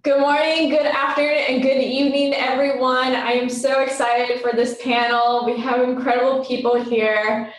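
A woman's voice: high voice, little pitch movement, normal speed, few pauses. A young woman moderating a panel, enthusiastic and welcoming, recorded by a webcam across a desk in a small office. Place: small office with bare walls. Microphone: far webcam across a desk. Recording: very echoey room from the mic's distance.

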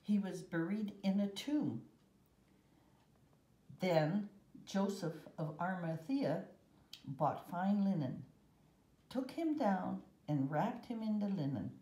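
A woman reading a children's Bible picture book aloud with measured, deliberate phrasing and pauses, recorded indoors. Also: medium pitch, lively intonation, warm tone, roomy sound. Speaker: storybook reader.

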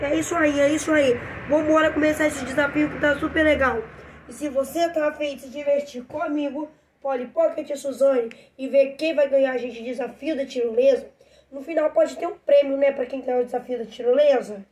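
A woman's voice: high-pitched, fairly even intonation, fairly quick, darkish tone, close to an everyday voice. A girl voicing a talkative doll with a cold. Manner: talkative, addresses viewers. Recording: noisy home recording.

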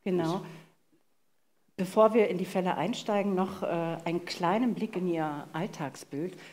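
Lower-voiced woman co-hosting on stage. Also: unhurried, a little mellow. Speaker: co-host and editorial director. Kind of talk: casual live stage hosting. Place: stage in a vaulted venue with live audience. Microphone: headset.

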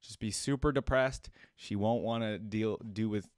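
A man's voice: lowish voice, steady tone, normal speed, no room sound. Young man reacting casually, close dry sound. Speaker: reaction video host.

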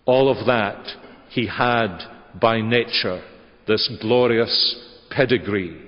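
Older man, slow measured sermon, long church reverb, muffled webcast sound. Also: fairly low pitch. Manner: measured, gesturing preaching cadence. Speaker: preacher. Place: large church sanctuary. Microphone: lectern microphone.

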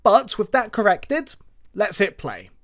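Screencast tutorial presenter, informal and explanatory, very animated intonation. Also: mid-range voice, slow pace. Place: voice-over for a screen recording.

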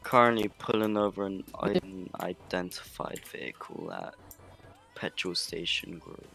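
Deep, gravelly man on game chat. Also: huge pitch swings, measured pace, fairly bright tone, soft background music. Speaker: roleplay game player.

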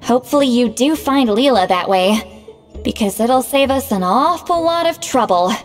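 A woman's voice: fairly high pitch, animated, moderate pace, faint music behind, close to an everyday voice. Woman voicing a proud young shaman, reverberant. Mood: concerned, businesslike. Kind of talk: scripted video game dialogue.

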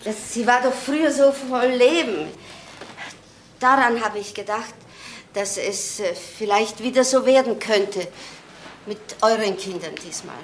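A woman's voice: on the high side, unhurried, a little hiss or hum, natural voice. Actress as a pleading mother in a roomy recording. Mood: pleading, wistful. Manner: hesitant, earnest, imploring. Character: pleading widowed mother of grown sons, earnest, wistful. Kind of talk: naturalistic German crime drama acting.

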